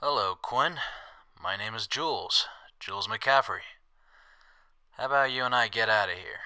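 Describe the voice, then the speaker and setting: Guy doing a wry drifter rogue for an audio drama, bright punchy voice, teasing but soft hearted. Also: lowish voice, normal speed, clean tone. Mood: gentle.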